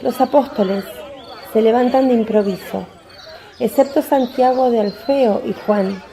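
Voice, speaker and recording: A woman's voice: a little high, measured pace, dark tone. A woman giving scripted dramatic narration of a religious story.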